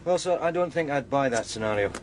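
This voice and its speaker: An actor playing a methodical male police detective, measured and respectful to his superior, in a radio crime drama. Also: mid-range voice, animated, noisy background, close to an everyday voice. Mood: analytical.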